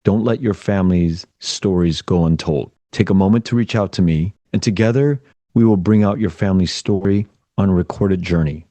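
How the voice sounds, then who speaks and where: Deep-voiced man reading a podcast intro. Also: warm tone, no room sound. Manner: measured, announcer-like delivery. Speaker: podcast host. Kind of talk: scripted intro and promo read.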